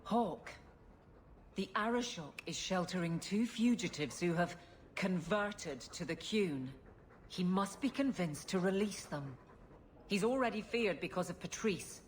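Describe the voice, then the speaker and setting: A woman's voice: lowish voice, animated, measured pace, a little hiss or hum. An actress playing an earnest, worried female guard captain. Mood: urgent.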